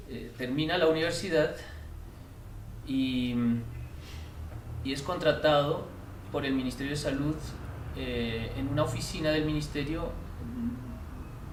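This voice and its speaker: A middle-aged Chilean man speaks with a Chilean Spanish accent, slow to find his words and full of hesitations. He sounds set back from the microphone in a reverberant space. A clear layer of background noise runs beneath his voice. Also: medium pitch. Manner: hesitant, many filler sounds and restarts. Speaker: artist and film teacher. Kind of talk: reflective interview recollection. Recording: roomy, distant mic with noise.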